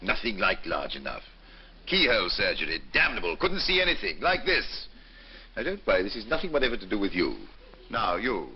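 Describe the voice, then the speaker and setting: A man's voice: lowish voice, huge pitch swings, a little fast, a little hiss or hum. An actor playing a pompous, bullying senior male surgeon who barks orders at junior doctors. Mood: lecturing. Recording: dull old film soundtrack with faint music.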